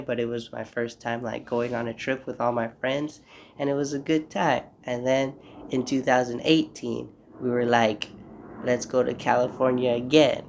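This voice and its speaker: Young guy with a cold, stuffy nose, kinda gravelly, flat voice, just rambling on his podcast. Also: medium pitch. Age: young adult. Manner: rambling, reflective, apologetic asides. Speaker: podcast host. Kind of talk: casual solo podcast monologue. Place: home room.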